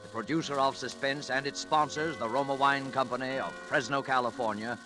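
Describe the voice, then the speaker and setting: A man hosting a mystery show with a formal, measured, theatrical 1940s radio introduction style. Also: medium pitch. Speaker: announcer and host of mystery show. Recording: vintage radio broadcast with music behind.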